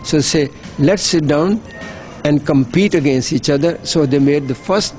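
A man's voice: fairly high pitch, a little slow, a little mellow, faint music behind. A middle-aged man, giving earnest, persuasive interview answers as an NGO worker, often repeating words as he restarts. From Pakistan. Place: hotel.

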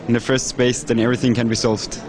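Calm young man. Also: mid-range voice, fast, a crowd in the background. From Austria. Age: young adult. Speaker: NGO marketer. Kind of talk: interview remarks at a youth forum.

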